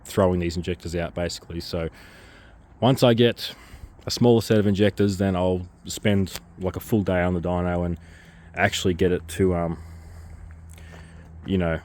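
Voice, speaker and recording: A very low-voiced man walks through his car projects in a casual vlog. He is coming down with a cold and his voice is a little worn. He talks in a loose, rambling way, full of ums and restarts. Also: fairly even intonation, moderate pace, pauses often, darkish tone. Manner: rambling, hesitant, lots of ums. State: getting a cold, losing his voice. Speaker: car vlogger.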